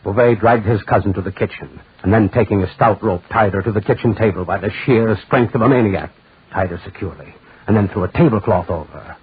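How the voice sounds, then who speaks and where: A man's voice: lowish voice, brisk, slightly rough. A man narrating grave, dramatic horror in 1940s radio style with emphatic repetition. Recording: muffled scratchy 1940s radio recording.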